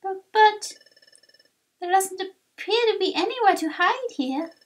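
Woman voicing an eager, high-pitched young fox explorer. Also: lively intonation, a little slow. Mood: worried. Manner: stammering, exclaiming.

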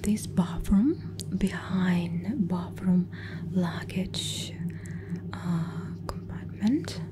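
A woman in her thirties whispering breathily in an ASMR flight attendant roleplay, with halting, hesitant phrasing, close to the microphone. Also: lowish voice.

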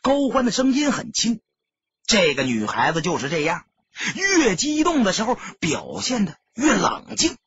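A man narrating an audiobook in an expressive, theatrical, dramatic storytelling style. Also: sits high, measured pace, slightly rough, dull audio.

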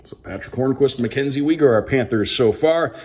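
An American man hosting a casual unboxing as a sports broadcaster, announcing names crisply like a play-by-play caller. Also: mid-range voice, fairly quick, darkish tone. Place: indoors at a tabletop, small room.

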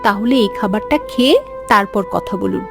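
A woman's voice: medium pitch, fairly quick, gravelly, over music, lightly acted. A woman voicing an outspoken but dutiful young daughter-in-law in a cartoon, with a firm manner. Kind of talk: cartoon voice acting.

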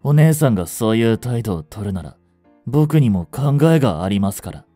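An actor playing a young genius swindler in a romantic audio drama, lovestruck, jealous and boyishly flustered, speaking politely. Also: male voice, on the low side, expressive, moderate pace, dark tone, no echo, faint music behind. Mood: cocky. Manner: polite speech, stammering when flustered. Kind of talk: romantic audio drama acting, addressed to the listener.

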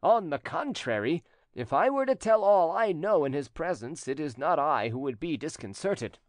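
A man's voice: a little high, big pitch swings, normal speed, dry recording, voice pushed up. A man voicing a jealous, scheming spurned suitor in a storybook reading, with insistent, theatrical delivery. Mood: insistent, bitter.

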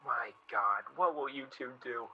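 Man playing a husky, sardonic newspaperman. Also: medium pitch, a little slow, dull audio. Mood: curious, concerned. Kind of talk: radio play acting.

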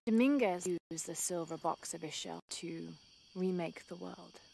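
A weary young woman adventurer in a video game, grieving and reflective. Her voice is low and creaky, kept to a breathy hush, with faint noise behind it. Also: a little slow, poor audio. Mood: soft, pensive. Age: young adult. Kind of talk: intimate video game cutscene dialogue.

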